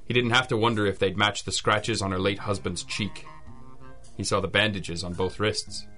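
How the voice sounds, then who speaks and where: A man's voice: on the low side, steady tone, moderate pace, pushed and bright, faint music behind. A man playing a world-weary, blunt private eye in an old-school radio detective drama, terse and wry. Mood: grim.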